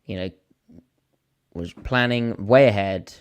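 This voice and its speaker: A man with a hoarse voice, co-hosting a podcast and rambling through stories in casual chat. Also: fairly low pitch, takes it slowly. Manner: rambling storyteller, animated when telling a story. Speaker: podcast co-host.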